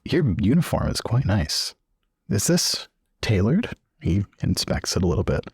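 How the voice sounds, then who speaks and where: A man plays a tabletop game in a very low, husky voice. He banters casually and jokes around, sounding close and dry on the recording. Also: sing-song, unhurried, no room sound.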